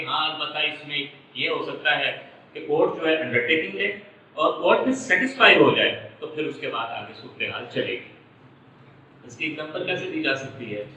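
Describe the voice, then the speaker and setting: A man with a Pakistani accent and a very bright, forceful voice gives an explanatory lecture, going over each point step by step. He sounds set back from the microphone in a strongly echoing room. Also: medium pitch. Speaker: law lecturer. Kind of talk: explanatory lecture with worked examples. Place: echoey indoor room. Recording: echoey room, distant mic, muffled, faint noise.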